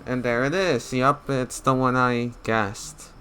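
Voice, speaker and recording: A man's voice: mid-range voice, fairly even intonation, slow pace, pushed and bright, slight background noise. A man doing casual gameplay commentary in short, muttered asides. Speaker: gamer playing and reacting.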